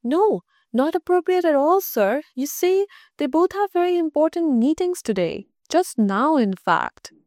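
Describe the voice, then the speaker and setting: A woman's voice: high voice, sing-song, measured pace, hardly any pauses, a little mellow, no echo, studio quality, voice pushed up. A woman voicing a flustered schoolgirl who stammers while fibbing, in a read-aloud story.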